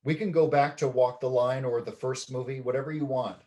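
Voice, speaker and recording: A man from Canada, a documentary film director, giving a measured, reflective interview with many ums and pauses. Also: mid-range voice, fairly even intonation, mellow.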